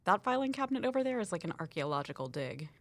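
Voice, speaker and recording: A woman's voice: medium pitch, big pitch swings, racing, no echo. An actress playing a breezy, friendly woman office colleague in a scripted audio drama, casual and playfully joking.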